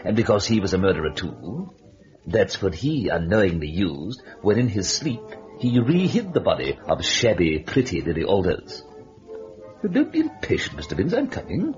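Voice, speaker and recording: An actor playing a gleeful, mocking devil who narrates an old-time radio horror tale in a theatrical, sardonic way. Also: male voice, low voice, wildly swooping pitch, moderate pace, a little mellow. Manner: theatrical, sardonic, chatty with the listener. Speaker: host and storyteller. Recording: dull lo-fi old radio recording, music behind.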